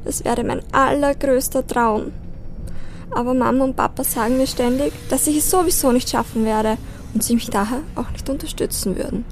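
A woman's voice: high voice, steady tone, moderate pace. A woman playing a guarded, troubled teenage girl in an amateur audio drama. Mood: despairing. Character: desperate, guarded teenage girl under eighteen, dreaming of studying.

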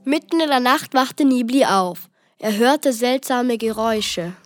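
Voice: medium pitch, measured pace, faint music behind. A child reading scripted narration for a children's story.

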